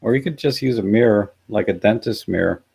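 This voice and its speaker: A man hosting a casual live chat, musing and halting, with a hoarse, scratchy voice. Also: on the low side, mellow. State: hoarse after a night out. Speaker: livestream host. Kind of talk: casual live chat, reading out and answering comments.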